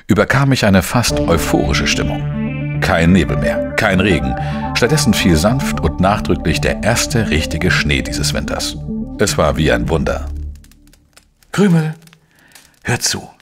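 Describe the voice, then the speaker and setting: A man playing a dogged, wry investigator in a crime radio play, speaking and narrating in a deliberate, reflective way. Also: sits low, big pitch swings, over loud music. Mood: tender, delighted. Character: dogged, wry male journalist-sleuth narrating his own case. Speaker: lead actor and first-person narrator. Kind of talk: German crime audio drama, narration and dialogue.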